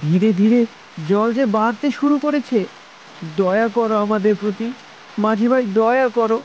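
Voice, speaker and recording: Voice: mid-range voice, moderate pace, a little mellow, lo-fi sound, natural voice. A performer playing a poor, anxious middle-aged village father in a cartoon, pleading and sorrowful. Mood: desperate pleading. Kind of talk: cartoon story dialogue. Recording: noisy, with rain behind.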